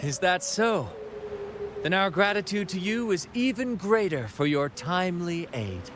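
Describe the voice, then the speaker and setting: A man's voice: high voice, big pitch swings, a little slow, noisy background. A man voicing a bold, flamboyant young captain of roving players in a video game, theatrical and gallant. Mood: gallant, reassuring. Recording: background music under the voice.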